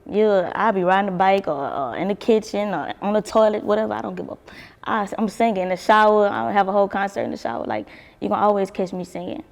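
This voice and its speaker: Gravelly-voiced young woman. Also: medium pitch, fast, big-room sound. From Baton Rouge, Louisiana. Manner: laid-back, swears freely, laughs often. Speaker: singer, interview guest. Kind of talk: casual interview chat.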